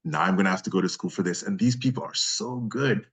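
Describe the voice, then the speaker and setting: A man with a very low, bass voice talks in a casual interview. He is a voice actor and a guest, speaking in an effusive, appreciative way. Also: fast talker, fairly bright tone, breathy edge. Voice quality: deep bass voice.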